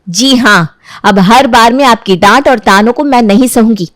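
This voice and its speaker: A woman playing a young daughter-in-law in a story, firm and measured, polite but standing her ground. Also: on the high side, no room sound, clean studio sound, pitched above the performer's own voice, close to an everyday voice. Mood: firm, defiant.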